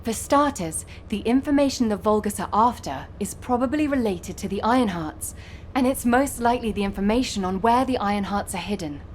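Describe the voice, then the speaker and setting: A woman voicing an earnest, methodical young military data analyst in a video game, giving a measured explanatory briefing. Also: medium pitch, expressive, a little hiss or hum, close to an everyday voice.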